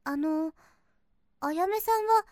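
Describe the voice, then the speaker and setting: A sweet young girl in an anime-style audio drama. Her voice is extremely high and childlike, with a dry, close sound. She is polite, earnest and innocent, a little hesitant and easily flustered. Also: slow, a little mellow, dry recording. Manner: earnest, deferential, hesitant speech. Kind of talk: Japanese voice drama acting.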